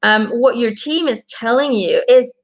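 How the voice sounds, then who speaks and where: A woman's voice: on the high side, unhurried. A Belgian woman whose first language is Dutch, doing a casual, earnest card reading for viewers and encouraging them with plenty of fillers and restarts. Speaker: intuitive card reader.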